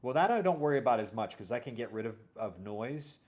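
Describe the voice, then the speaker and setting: A man's voice: mid-range voice, sing-song, fast, darkish tone. A man hosting a casual interview, talking in a hesitant, rambling way and often correcting himself. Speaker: podcast host and audio drama series creator.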